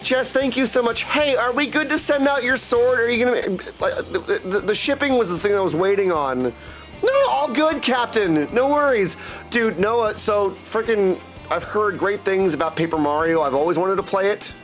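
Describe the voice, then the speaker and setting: A man's voice: sits high, moderate pace, a bit bright. A man chatting casually with viewers as he hosts a game livestream, hopping between replies and catchphrases. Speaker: livestream host playing a video game; voice actor and audiobook narrator. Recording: music playing behind the voice. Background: music.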